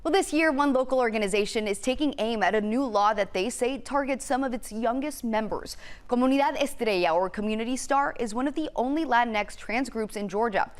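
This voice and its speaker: A woman's voice: a little high, a little fast. A woman anchoring a local news segment, reading scripted lines in an upbeat, polished way.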